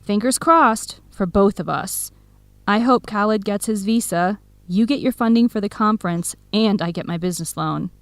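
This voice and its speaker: A woman's voice: medium pitch, normal speed, no room sound, close to an everyday voice. A woman playing a supportive, frank young best friend in a radio drama, with a direct, encouraging, conversational manner. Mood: hopeful. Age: young adult. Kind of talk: scripted radio drama dialogue.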